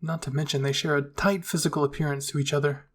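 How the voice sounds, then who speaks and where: Husky-voiced man narrating fan fiction. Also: a little high, little pitch movement, quick pace. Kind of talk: first-person fan fiction narration, ASMR roleplay.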